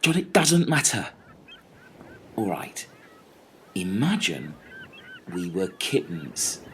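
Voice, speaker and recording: A man's voice: low voice, sing-song, slow pace, slightly rough, exaggerated voice. A man playing an exasperated, sweary castaway in a comic radio play.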